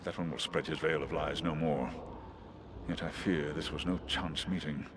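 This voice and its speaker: An actor voicing a wise, solemn great eagle in a fantasy game, with a measured, archaic way of speaking. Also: male voice, extremely low pitch, fairly even intonation. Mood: grave counsel. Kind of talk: fantasy video game cutscene acting. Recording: clear background ambience noise.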